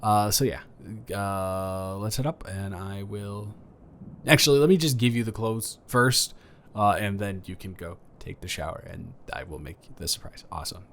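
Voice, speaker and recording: A man's voice: lowish voice, moderate pace, a bit bright, slight background noise, lightly acted. A man playing a flirty, awkward, kind neighbor in an improvised roleplay audio, stammering, rambling and correcting himself in a casual conversational way. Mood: friendly, rambling.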